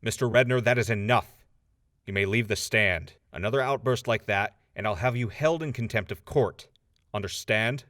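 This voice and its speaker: A man's voice: fairly low pitch, normal speed, fairly bright tone, dry recording, studio quality, lightly acted. A man playing a stern, exasperated judge in an audio drama, speaking with formal authority.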